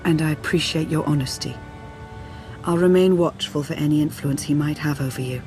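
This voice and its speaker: An actress playing a cautious, loyal female adviser in a video game, with measured, formal delivery. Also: sits low, a little mellow, close to an everyday voice. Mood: concerned, guarded. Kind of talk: video game cutscene acting. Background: music.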